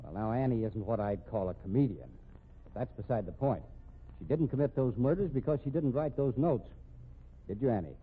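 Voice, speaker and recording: An actor in a 1950s radio mystery playing a wry, weary police captain with a dry, firm, slangy way of talking. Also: male voice, medium pitch, lively intonation, a little fast, husky. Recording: tinny band-limited old radio recording.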